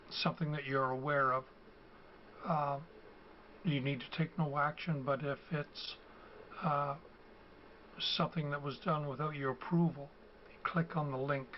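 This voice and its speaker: An older man who has a cold and is losing his voice, explaining things slowly and haltingly with many ums and uhs. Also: a little high. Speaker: video host. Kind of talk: casual explanatory talk. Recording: dull, lo-fi home recording with faint ambient noise.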